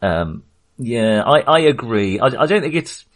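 A man's voice: mid-range voice, sing-song, a little slow. Male podcast co-host, mid-range voice, informal and conversational, discussing films. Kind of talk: casual film discussion.

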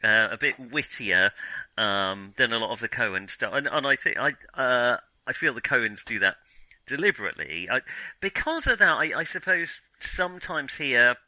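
A man's voice: mid-range voice, expressive, normal speed, sharp tone, no echo, low-quality recording. A man co-hosting a film podcast, talking casually and hesitantly, qualifying his points as he thinks aloud.

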